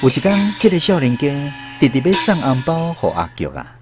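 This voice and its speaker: A man's voice: fairly high pitch, huge pitch swings, a little fast. A man with a Taiwanese accent narrating a radio drama as a storyteller. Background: music.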